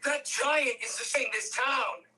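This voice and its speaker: A woman acts out a brash young male warrior at a table read. The voice is bright and high, sarcastic and exclamatory, with unhurried, animated lines. It sounds distant from the microphone. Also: heavily acted. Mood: combative. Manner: mocking, exclamatory.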